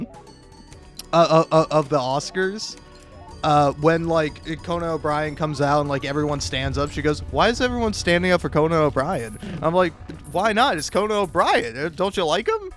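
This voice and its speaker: A man with a slightly high voice talks like a YouTuber rambling over a game. He trips over and repeats his words, swears freely and puts himself down, with faint music underneath. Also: lively intonation, normal speed, a bit bright. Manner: stammering, repeats words and restarts often; profane, self-deprecating. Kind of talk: casual rambling gaming commentary.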